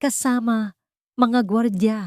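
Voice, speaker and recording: Haughty villainess for audio drama, performed by a female narrator: shrill, commanding, raised pitch, dry close sound. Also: fairly even intonation, slow pace, darkish tone, no echo. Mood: panicked fury. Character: haughty villainous society woman in a panic.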